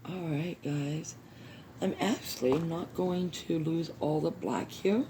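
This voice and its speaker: A woman with a hoarse, recovering voice hosting a craft how-to video, chatting casually with viewers. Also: low-pitched, slow pace, background noise. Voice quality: gravelly. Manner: chatty, addresses viewers as you guys.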